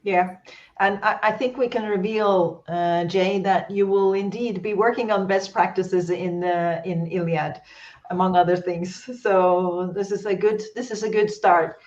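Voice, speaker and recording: A woman's voice: mid-range voice, fairly even intonation, a little slow, darkish tone. A woman from Norway moderating an online webinar, speaking with many fillers and restarts over a video call. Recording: dull lo-fi call audio.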